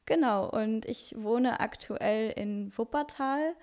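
A woman's voice: fairly high pitch, takes it slowly, darkish tone. A woman in her twenties from Luxembourg, speaking German with a Luxembourgish accent as a podcast guest, earnest and with fillers. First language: not German. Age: 20s. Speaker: interview guest, coach. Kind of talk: casual interview talk.

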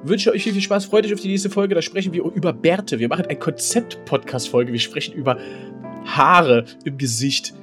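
A man's voice: a little high, moderate pace, music in the background. A man co-hosting a podcast in casual chat, with colloquial, teasing banter. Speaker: podcast co-host. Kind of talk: casual chat between two friends.

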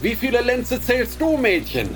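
A gruff, leering ship's officer in a radio play, barking orders in a raised, high-set voice. Rain and music sound behind him. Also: male voice, big pitch swings, a little slow, heavily acted. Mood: dismissive. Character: gruff male ship's officer herding children aboard. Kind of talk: radio drama acting.

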